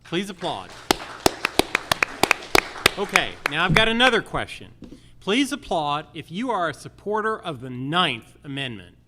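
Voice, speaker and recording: A man's voice: on the high side, very animated, a little slow, pauses often, background noise. A man giving an emphatic campaign speech as a judicial candidate, addressing his audience directly. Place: theater, live audience.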